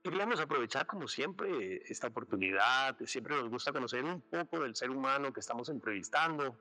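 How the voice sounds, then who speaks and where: A man hosting a university interview program, introducing his guest with pauses and fillers. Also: medium pitch, expressive, pushed and bright. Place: outdoors in a city neighborhood.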